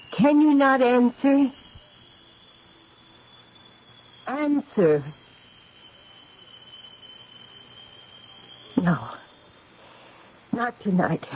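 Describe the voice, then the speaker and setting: An actress in an old radio drama playing a troubled, gracious lady medium with a halting, intense way of speaking. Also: fairly high pitch, darkish tone. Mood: straining, weary. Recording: muffled old radio recording.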